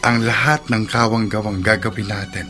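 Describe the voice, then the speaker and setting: An actor playing a principled husband in a radio drama, with a measured, earnest, moralizing way of speaking. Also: male voice, fairly low pitch, flat delivery, over music, close to an everyday voice. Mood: earnest reproach. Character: principled husband, earnestly counselling his wife. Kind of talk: sentimental radio drama acting.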